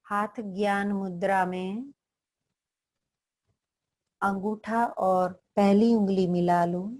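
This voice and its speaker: An Indian-accented woman teaches a guided meditation at an extremely slow pace. Her voice sits at a medium pitch for a woman. She delivers short, deliberate instructions and leaves long pauses between phrases. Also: steady tone, a little mellow.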